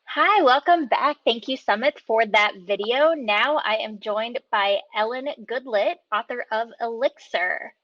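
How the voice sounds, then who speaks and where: A woman with a fairly high voice hosts a casual interview. The sound is dry with little room, and a bit dull and lo-fi. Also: a little slow, hardly any pauses, no echo. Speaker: host and interviewer.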